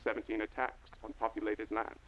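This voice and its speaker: A man's voice: lowish voice, level tone, brisk, close to an everyday voice. A man playing a grave, earnest documentary guide, narrating formally and portentously from a script in a vintage style. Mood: solemn, formal. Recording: dull lo-fi audio with slight noise.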